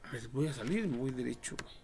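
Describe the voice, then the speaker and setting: A young man with a Mexican accent giving casual, slangy, foul-mouthed commentary on a horror game livestream, reacting out loud as he plays. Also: medium pitch, very fast, music in the background. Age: young adult. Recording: noisy home livestream with clicks and typing.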